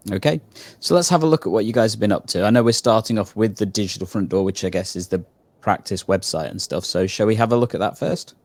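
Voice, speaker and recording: A man's voice: fairly low pitch, fast talker, a little mellow, no room sound. A male host on a video call, summing up his guest's points and steering the interview. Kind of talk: interview questions and summaries.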